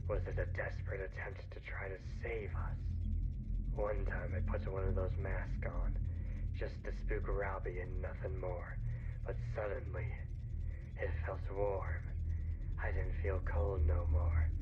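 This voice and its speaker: Man acting a low, slow, haunted fanatic. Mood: haunted, uneasy. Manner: slow, brooding, half-whispered monologue. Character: haunted man turned fervent cult-like believer. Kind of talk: dramatic audio log horror monologue. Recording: muffled lo-fi tape with clear noise.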